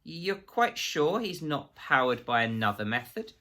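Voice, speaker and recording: Man acting a coldly polite, bright-voiced official interrogator. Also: a little high, very animated, a little slow, voice pushed up, full character voice. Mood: probing. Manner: light, conversational, precise, formal questioning.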